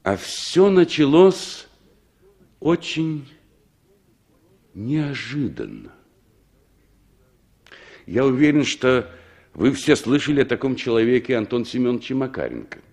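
An actor playing an earnest reformed gang leader recounting his life in measured phrases in a 1960s radio play. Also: medium pitch, very animated, a little mellow, full character voice.

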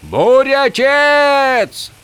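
A man's voice: on the high side, big pitch swings, slow pace, voice pushed up, heavily acted. A man voicing a desperate, defiant warrior prince in a fantasy audiobook, crying out to a god in impassioned demands and pleas. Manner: shouting, pleading, impassioned.

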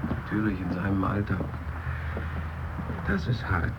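An actor playing a chatty funeral guest trading platitudes in a naturalistic, musing radio-play conversation. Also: male voice, low-pitched, measured pace. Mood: commiserating. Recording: muffled, very noisy 1970s radio recording.

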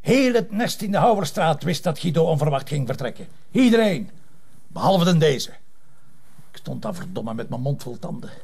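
An actor playing a sulking, sarcastic husband who grumbles in a Flemish accent, in a naturalistic drama. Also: male voice, on the high side, sing-song, moderate pace, gravelly, a little mellow, slightly rough, exaggerated voice. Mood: indignant, hurt.

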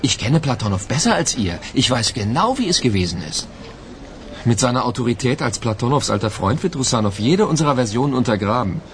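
A man's voice: mid-range voice, big pitch swings, brisk, slight background noise, lightly acted. A man playing a sardonic, griping police captain in a German radio crime drama. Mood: irritated, sarcastic. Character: grumbling, sarcastic male police detective captain.

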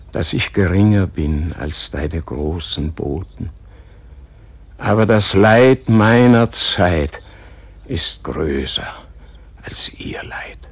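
An actor playing a dying holy man who prays humbly and fervently in a husky, declamatory radio drama style. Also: low-pitched, heavily acted. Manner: declamatory, reverent, swelling from whispers to fervent pleas. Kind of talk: solemn classic radio drama monologue.

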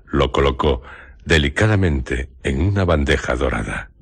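A man narrating a radio drama in a scripted literary style, delivering it in measured, separate phrases. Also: a bass voice, expressive, vocal fry, husky.

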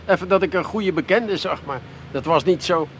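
An actor playing a timid, easily flustered sidekick in a comedy radio play, hesitant and stammering. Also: male voice, sits high, moderate pace, slight background noise. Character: nervous, timid, star-gazing sidekick man, easily flustered. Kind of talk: 1980s Dutch comedy radio play acting.

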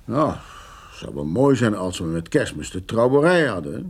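An actor playing a gruff, evasive middle-aged farmer in a radio play, grumbling and hesitant with a husky voice. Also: male voice, fairly low pitch, very animated, normal speed, a little mellow, exaggerated voice. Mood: evasive, brisk. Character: gruff, evasive married farmer nursing an old feud. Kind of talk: Dutch radio drama acting.

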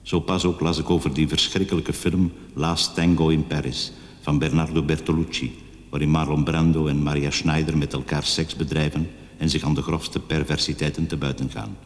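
A man's voice: rumbling low, little pitch movement, normal speed. An actor in a radio drama playing a vengeful, self-righteous male killer who voices his suicide letter with bitter grandeur. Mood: righteous, grim. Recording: long echoing reverb on the voice.

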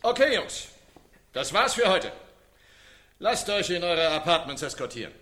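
A man's voice: high-pitched, big pitch swings, unhurried, pushed and bright, a little hiss or hum, full character voice. An actor playing an exasperated male theatre director who gives curt, commanding orders in a radio drama. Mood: dismissive.

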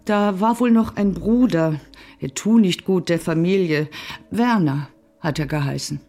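A woman's voice: fairly low pitch, a little slow, dark tone. An actress playing a dry, disillusioned divorced woman in a radio play, speaking with a sardonic edge. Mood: dry, detached. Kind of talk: radio drama acting.

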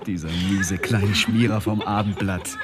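An actor playing a smooth-talking, ambitious journalist in a radio drama, flirting with ingratiating, hesitant flattery. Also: male voice, low voice, unhurried. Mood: amused, scheming. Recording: loud music behind dialogue.

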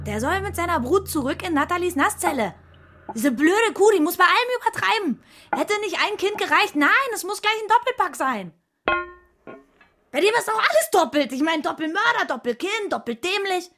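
A woman's voice: very high voice, expressive, normal speed, a bit bright, faint music behind. An actress playing a jealous, venomous, scornful woman in a radio crime drama. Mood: venomous, ranting.

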